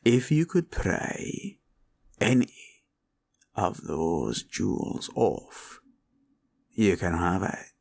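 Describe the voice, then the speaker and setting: A menacing green dragon speaks in a low, gravelly growl, drawling very slowly. The delivery swoops between breathy rasps and rumbles, sly and greedy, in an intimate fantasy roleplay style. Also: male voice, big pitch swings, a little mellow, heavily acted. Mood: sly, boastful. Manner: slow, drawling, taunting, archaic turns of phrase.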